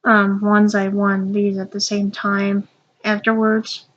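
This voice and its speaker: Young woman, stuffy and sniffling, slow halting vlog chat, slightly dull lo-fi audio. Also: mid-range voice, completely flat delivery. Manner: halting, with many ums and restarts. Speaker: vlogger. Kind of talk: casual unboxing vlog.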